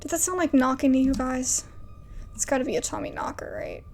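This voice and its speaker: Girl playing a jumpy worried friend, high voice, kinda fry-ish. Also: steady tone, a little mellow, slight background noise. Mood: anxious. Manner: nervous, quick worried talk. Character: anxious young woman, jumpy and fretful.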